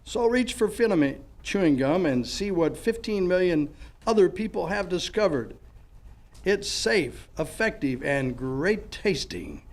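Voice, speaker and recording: A man's voice: high-pitched, big pitch swings, a little slow. A man reading scripted narration and announcements in the style of an old-time radio show.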